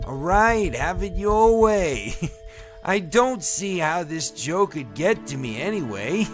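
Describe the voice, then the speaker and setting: A man's voice: high voice, sing-song, slow pace, music in the background, heavily acted. An actor playing a cocky, wealthy man covering nerves with mockery and a quivering voice, in a comic-book audio drama. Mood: nervous bravado. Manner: smug, quivering nervous chuckle. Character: cocky rich male donor, nervously mocking.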